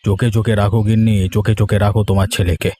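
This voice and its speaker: A man playing a strict, caring village father in an animated moral story, speaking in an admonishing, emphatic way. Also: fairly low pitch, racing, breathy edge, no room sound. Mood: concerned, instructing. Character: strict, caring village father who sells chickens at market.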